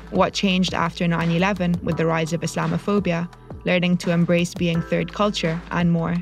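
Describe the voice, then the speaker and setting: A woman, born in Egypt, reading her podcast introduction in measured scripted narration. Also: on the low side. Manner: measured, clearly read intros. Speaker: podcast host, journalist. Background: faint music.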